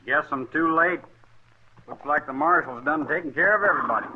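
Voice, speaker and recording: An eager, embittered young frontiersman, played by a man with a fairly high American voice. The radio western part comes through a thin, faintly hissy old recording. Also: a little fast, narrow, tinny audio, lightly acted. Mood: bitter. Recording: thin, distant vintage radio recording, hiss.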